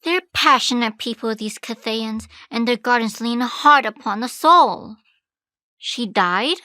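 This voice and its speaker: A woman playing a blunt, wry fairy in a stage play, speaking briskly and irreverently. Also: a little high, bright, forceful tone, no echo, clean studio sound. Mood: wry, skeptical.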